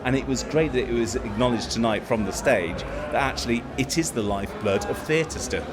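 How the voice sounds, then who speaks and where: A man, a theatre producer, talking in an interview with warm enthusiasm. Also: mid-range voice, fairly quick, very noisy. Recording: loud crowd around. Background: crowd chatter.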